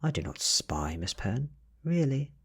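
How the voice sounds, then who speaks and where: A man's voice: medium pitch, sing-song, a little slow, slightly rough, voice pushed up, exaggerated voice. A British man playing a tired, nervous private detective in a story reading, businesslike and questioning. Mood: defensive.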